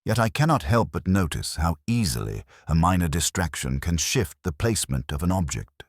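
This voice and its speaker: A man reading as a deep-voiced, courteous inspector. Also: sing-song, husky, no room sound, clean studio sound, exaggerated voice. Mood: calm, courteous. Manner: polite, formal, deliberate. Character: courteous, unassuming male police inspector, calmly observant. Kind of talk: mystery audiobook dialogue.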